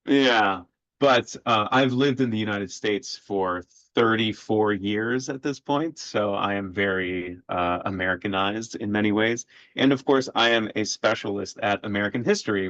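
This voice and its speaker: A man in his forties from Sweden, a history professor speaking as a podcast guest in a measured way with many filler sounds. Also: lowish voice, fairly bright tone, no room sound. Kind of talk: podcast interview. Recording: dull lo-fi audio, dry.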